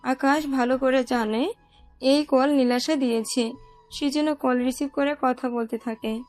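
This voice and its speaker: A woman's voice: high voice, fairly even intonation, measured pace, darkish tone, faint music behind. A woman reading scripted narration for a romantic audio story.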